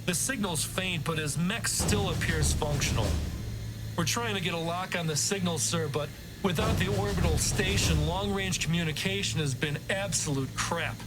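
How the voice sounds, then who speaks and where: An actor playing an anxious young officer in a sci-fi motion comic, deferential and a little hesitant. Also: male voice, a little high, moderate pace, lightly acted, low-quality recording. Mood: nervous, dutiful. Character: earnest, anxious junior male lieutenant reporting to superiors. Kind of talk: animated motion-comic drama acting. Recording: loud music behind the voice.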